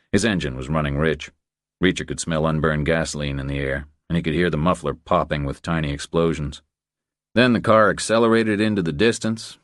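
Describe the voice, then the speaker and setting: A man's voice: very deep voice, no echo, studio quality. A man reading scripted crime thriller narration as an audiobook narrator.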